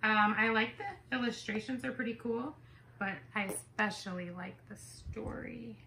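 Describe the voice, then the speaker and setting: A woman reading a picture book aloud to children as an expressive storyteller. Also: on the high side, measured pace, background noise.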